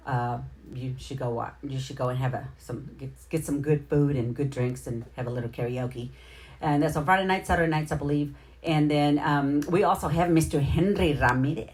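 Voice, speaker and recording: A middle-aged woman with a low voice hosts a casual livestream. She talks straight to her viewers in a chatty, slangy way, as in an informal round of shout-outs. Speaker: livestream host.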